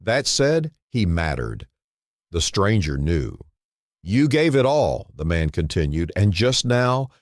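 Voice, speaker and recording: Deep-voiced man narrating slowly and solemnly. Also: no echo, clean studio sound. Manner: solemn, deliberate, short phrases with dramatic pauses. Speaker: storyteller, narrator. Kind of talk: dramatic scripted story narration.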